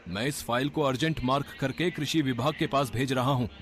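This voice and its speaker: A man's voice: mid-range voice, brisk, fairly bright tone, natural voice. A man playing a self-important, by-the-book government bureaucrat in a cartoon story, speaking formally. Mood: officious. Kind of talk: acted cartoon story dialogue.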